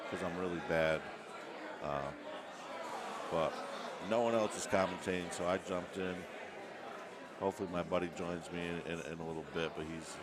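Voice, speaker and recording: Low-voiced man commentating over loud crowd. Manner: relaxed, self-deprecating, frequent restarts and fillers. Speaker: volunteer commentator at a tournament. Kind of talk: casual live-stream commentary. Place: busy tournament venue full of players. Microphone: on camera at the event. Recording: loud crowd chatter behind.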